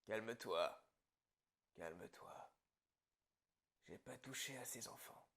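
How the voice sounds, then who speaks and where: A man playing a calm psychopathic killer in an ASMR roleplay, speaking lines in a hushed, darkly casual way that slips into whispers. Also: fairly low pitch, slow pace, gravelly, slightly rough, full character voice. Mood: menacing reassurance. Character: calm, menacing male psychopathic killer, falsely reassuring his captive.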